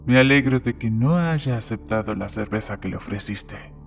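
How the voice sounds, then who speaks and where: A man's voice: on the low side, normal speed, soft background music, lightly acted. A man playing a plain-spoken small-town bartender in a horror story, matter-of-fact in manner.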